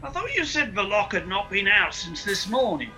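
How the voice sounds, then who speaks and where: Senior investigator, radio drama, male actor: high, extremely bright voice, experienced and probing. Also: big pitch swings, moderate pace, soft background music, heavily acted. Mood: grave, curious. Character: seasoned, inquisitive senior police investigator. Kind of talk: radio play acting.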